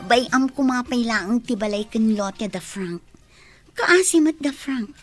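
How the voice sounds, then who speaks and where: A woman with an Ilocano accent playing a lovestruck, pleading daughter in a radio drama, with a husky voice. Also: a little high, animated, slow pace, soft background music.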